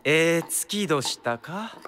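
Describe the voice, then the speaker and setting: A composed exorcist official in a radio drama. The Kyoto accent is plain, the speech very slow and courteous, with a reserved air and a mid-range voice. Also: mid-range voice, expressive, fairly bright tone, a little hiss or hum. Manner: polite, unhurried, reserved.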